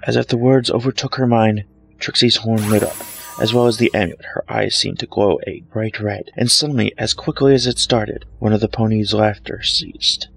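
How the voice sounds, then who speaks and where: A man's voice: steady tone, moderate pace, creaky, slight background noise, poor audio. A man reading a fan fiction story aloud as a dramatic scripted narration. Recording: low-grade home microphone, music bed. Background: soft dramatic background music.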